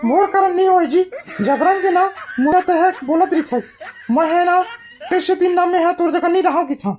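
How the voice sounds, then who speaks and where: Woman playing a high-voiced Chhattisgarhi village mum. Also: moderate pace, a little mellow, a little hiss or hum. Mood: complaining. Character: coaxing, complaining village mother of two boys. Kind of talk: cartoon comedy acting.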